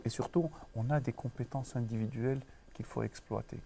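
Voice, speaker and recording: Un homme d'âge moyen, originaire du Maroc, directeur d'école en entretien, parle de façon posée avec beaucoup d'hésitations. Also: male voice, medium pitch, fairly even intonation, creaky, background noise. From Morocco. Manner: measured, many pauses and restarts. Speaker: school director. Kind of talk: interview.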